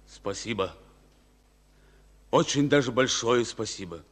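An actor playing a modest, reserved Soviet battalion captain in a war radio drama, answering in terse replies. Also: male voice, mid-range voice, animated, slow, in a large hall. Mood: grateful.

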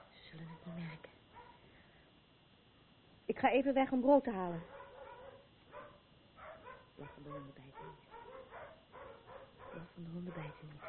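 A woman's voice: on the low side, lightly acted. An actress in a radio play playing a worn-out, frayed woman who broods and repeats her phrases. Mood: anxious muttering. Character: worn-out, frayed woman burdened by an unstable man. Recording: thin phone-like old radio recording, noisy.

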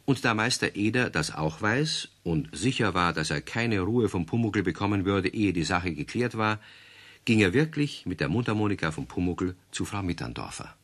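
Deep-voiced man narrating a radio play. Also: expressive, moderate pace, a bit bright. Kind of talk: scripted storybook narration.

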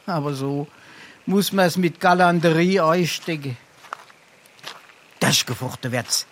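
Wily pompous braggart, Darmstadt Hessian dialect, higher male voice, theatrical bluster, slightly noisy recording. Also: slow, fairly bright tone. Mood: brooding. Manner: grandiloquent, blustering. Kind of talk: theatrical dialect comedy acting.

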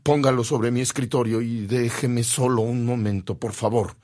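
An actor playing a grave, defiant middle-aged bishop in a radio drama, with a husky, creaky voice and a solemn, emphatic manner. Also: male voice, medium pitch, steady tone, normal speed, a little mellow, close to an everyday voice. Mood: weary, polite.

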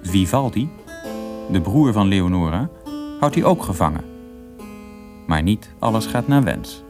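A man's voice: on the low side, big pitch swings, a little slow. A man narrating a scripted radio drama as storyteller. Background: plucked guitar music.

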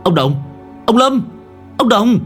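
A man doing a shouting young hero. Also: high-pitched, sing-song, normal speed, soft background music, voice pushed up. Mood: alarmed shouting. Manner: shouting, urgent.

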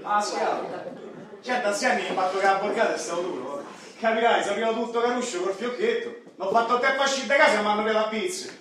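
An actor playing a brash, boastful young bodybuilder and ex-dealer from the Roman outskirts, confessing in emphatic street slang with a Roman accent. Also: male voice, sits high, fast, crowd noise, natural voice. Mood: animated, boastful. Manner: colloquial, emphatic, punctuated with rhetorical tags. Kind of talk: theatrical monologue in street slang. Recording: echoey hall, distant mic, audience noise.

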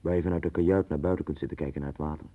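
An actor playing a blunt, domineering, somewhat pompous friend who lectures, in a 1960s Dutch radio play. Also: male voice, very deep voice, fairly even intonation, very fast, dark tone. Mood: musing, hearty. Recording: tinny, band-limited 1960s radio recording.

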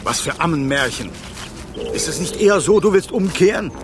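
An actor playing a deferential, coaxing snake who serves as a guardian spirit in a theatrical radio play. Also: male voice, on the high side, animated, normal speed, heavy background noise, natural voice. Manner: obsequious, theatrical. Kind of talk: German radio play acting. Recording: loud music behind the voice.

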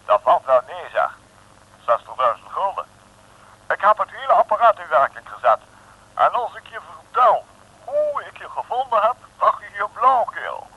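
A high, swooping, jovial police chief. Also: male voice, sing-song, normal speed, breathy edge, full character voice. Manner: hearty, chummy, pompous. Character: breezy, jovial male police chief, pompous and careless. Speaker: actor playing a superior officer. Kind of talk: 1960s comic radio serial acting. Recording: muffled old radio recording.

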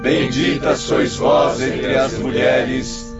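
A man's voice: mid-range voice, flat delivery, drawn-out words. An actor playing a devout male spirit reverently intoning a prayer in a radio drama. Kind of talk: devotional sung prayer in a drama. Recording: muffled audio under loud music.

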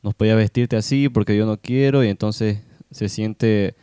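A man giving halting, unscripted commentary in a radio booth, repeating words and adding frequent tag questions. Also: lowish voice, fairly even intonation, dark tone, clean tone, no room sound, studio quality.

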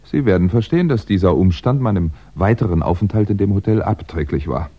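An actor playing a polite, brooding, middle-aged crime writer in a 1960s German radio play, speaking in a measured, dryly understated way. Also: male voice, low-pitched, animated, husky. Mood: wry narrating. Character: hunted male crime novelist, polite, brooding, wry. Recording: dull 1960s radio recording.

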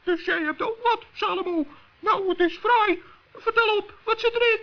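A man playing a small, kindly but strict gnome in a children's radio play, squeaky and earnestly scolding. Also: normal speed. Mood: shocked, disgusted. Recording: dull old radio play recording.

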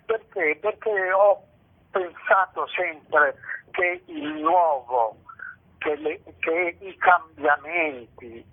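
An older man answering reflectively in a radio interview, halting and repeating phrases for emphasis. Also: fairly high pitch. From Italy. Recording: tinny band-limited phone line.

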